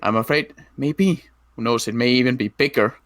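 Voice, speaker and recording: A man playing a cautious ex-military zombie apocalypse survivor in an improvised game roleplay, speaking haltingly and often rephrasing. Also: mid-range voice, fairly bright tone, lightly acted. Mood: cautious, serious.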